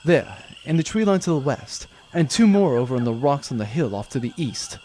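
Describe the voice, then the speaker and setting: A man's voice: mid-range voice, very animated, brisk, mellow, slight background noise, natural voice. An actor playing a dashing, boastful male spaceship captain in a comedic sci-fi audio drama, with a grandiose, theatrical manner. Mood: watchful.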